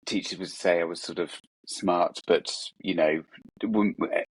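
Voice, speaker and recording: A man's voice: low voice, steady tone, unhurried, slightly rough. A man being interviewed over a video call, talking his way through his answers with plenty of hesitations and filler phrases. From England. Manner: hesitant, many fillers and "sort of". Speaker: guest author and illustrator.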